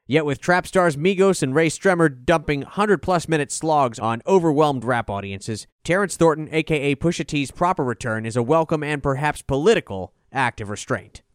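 A man's voice: on the high side, lively intonation, normal speed, hardly any pauses, clean tone, dry recording, clean studio sound. A man reading a scripted review as narration, in an articulate, formal delivery. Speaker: album review reader.

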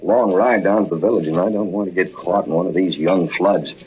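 An actor playing a wry, protective young husband, bantering in a 1940s radio drama. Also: male voice, low voice, brisk, close to an everyday voice. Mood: easygoing. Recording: muffled, noisy 1940s radio recording.

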